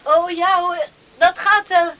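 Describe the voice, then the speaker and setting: A tired young farm girl, in a radio drama. Her high voice is slow and polite, slightly evasive, over clearly audible noise. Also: steady tone. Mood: polite, weary.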